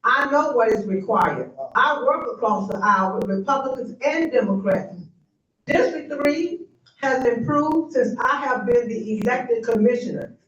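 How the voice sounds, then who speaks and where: A confident middle-aged woman rallies a meeting crowd for her re-election, joking with the audience as she goes. Her voice is a little high, picked up from across an echoey room. The sound is somewhat dull, with faint noise underneath. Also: expressive, measured pace, far from the mic. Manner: confident, rallying, banters with the audience. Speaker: county commissioner. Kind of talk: campaign speech at a forum.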